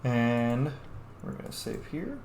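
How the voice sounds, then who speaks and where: A man's voice: medium pitch, big pitch swings, takes it slowly, a little mellow. A man giving casual let's play commentary, with muttered asides to himself and casual swearing. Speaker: host of a game roleplay video series. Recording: roomy sound with faint background noise.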